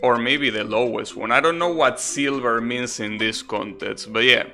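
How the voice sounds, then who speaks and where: A man's voice: medium pitch, normal speed, bright, forceful tone. A man giving casual, rambling live commentary as a game streamer, chatting and explaining as they go. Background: video game music.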